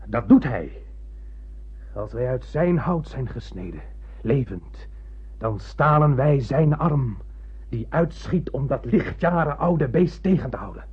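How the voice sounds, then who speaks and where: An actor playing an obsessed, commanding spaceship captain in a radio play, with grandiose, intense declamation. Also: male voice, medium pitch, animated, takes it slowly, slightly rough, highs cut off, exaggerated voice. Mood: brooding obsession. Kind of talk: dramatic radio play acting.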